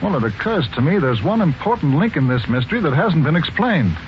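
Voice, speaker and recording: Courteous, very animated radio mystery gentleman. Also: a little high, slight background noise. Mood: polite, probing. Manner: courteous, measured. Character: polite, thoughtful son of a murdered businessman. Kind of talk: 1940s radio mystery acting. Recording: muffled 1940s radio broadcast with music.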